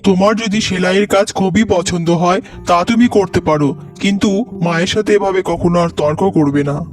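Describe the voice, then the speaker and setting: Casting: actor as young cartoon husband, high male voice, firm and reproving, faint music bed. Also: normal speed, lightly acted. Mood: annoyed, admonishing. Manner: admonishing, firm. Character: stern young husband siding with his mother. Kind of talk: cartoon voice acting.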